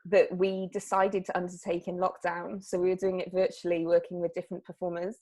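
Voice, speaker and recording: A woman artist from Wales, chatting casually in an interview and often pausing on fillers and restarting her sentences. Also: lowish voice, little pitch movement, mellow. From South Wales. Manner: hesitant, lots of fillers and restarts.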